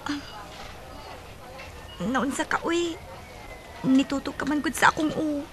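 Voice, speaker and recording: A woman with a Filipino Cebuano accent playing a sweet, lovestruck high school girl in a radio drama, girlish and emotive. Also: steady tone, takes it slowly, slight background noise. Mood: shy. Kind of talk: radio drama acting and first-person narration. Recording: dramatic music behind the voice.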